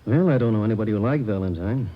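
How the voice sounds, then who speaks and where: A man's voice: lowish voice, lively intonation, rapid-fire. An American man playing a practical, plain-spoken police detective in a radio drama, talking terse shop talk. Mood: matter-of-fact. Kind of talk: 1940s-50s radio drama acting. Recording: dull, faintly noisy old radio recording.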